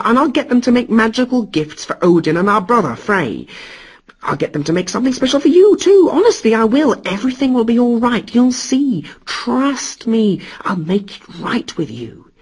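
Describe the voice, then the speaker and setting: A man's voice: high voice, husky, voice pushed up, heavily acted. A man doing a whining, grovelling trickster god. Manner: whining, pleading, sobbing. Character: grovelling male trickster god, cowardly and wheedling.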